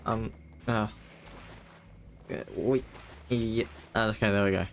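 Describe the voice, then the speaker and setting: A man's voice: fairly low pitch, fairly even intonation, slow pace, a little hiss or hum. A man doing casual live gameplay commentary as a streamer, muttering and thinking aloud into a close mic. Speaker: game streamer. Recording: faint game music behind.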